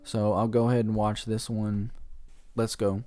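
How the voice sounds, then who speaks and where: A man's voice: fairly low pitch, level tone, unhurried, warm tone, soft background music. A man talking casually to viewers as a video host, explaining things in an informal, slangy, easygoing way. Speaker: YouTube reaction host.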